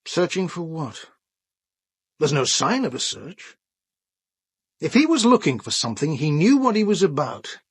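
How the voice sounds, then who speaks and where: Wry, husky detective. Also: male voice, fairly high pitch, very animated, a little slow, pauses often, no room sound, clean studio sound, full character voice. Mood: wry, analytical. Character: brisk male police detective, dry and sardonic. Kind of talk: full-cast radio drama dialogue.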